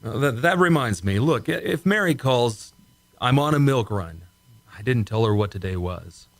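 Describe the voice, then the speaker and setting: A man's voice: mid-range voice, lively intonation, normal speed, no room sound, natural voice. Man acting an offhand, dry-sounding test pilot. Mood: offhand, fond. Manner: casual, wry, offhand. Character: easygoing, wisecracking male test pilot, unfazed by danger. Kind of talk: amateur retro 1950s sci-fi radio play acting.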